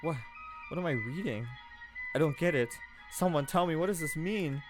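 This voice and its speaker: A man's voice: fairly high pitch, expressive, moderate pace, music in the background, voice pushed up, natural voice. A man voicing an anxious fourteen-year-old noble girl who talks in frantic, pleading questions. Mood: bewildered.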